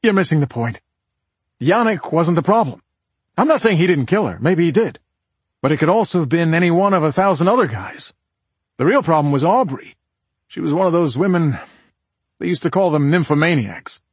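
Man playing crude husband, crime audiobook, hot-tempered, blunt, slangy, raised pitch, dry sound. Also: animated, moderate pace, pauses often, slightly rough, no echo. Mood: explaining.

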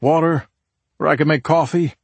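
A man narrator plays a hot-tempered husband in a crime audiobook. He talks in blunt, crude slang, pitched up and pushed louder, in a dry recording. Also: steady tone, moderate pace, creaky, breathy edge, no room sound. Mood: matter-of-fact.

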